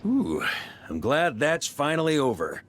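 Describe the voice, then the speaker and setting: An actor playing a bold, easygoing knight commander in a video game, giving commands in a relaxed, friendly way. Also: male voice, on the high side, unhurried, background noise. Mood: steady command. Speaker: Grandmaster of a knightly order.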